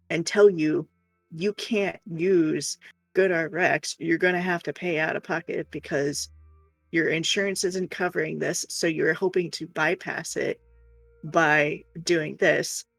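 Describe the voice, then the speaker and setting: A woman's voice: deep voice, vocal fry, breathy edge, no echo. A woman streamer chatting casually and telling stories in a frank, exasperated, sweary way. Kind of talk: casual storytelling chat. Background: faint music.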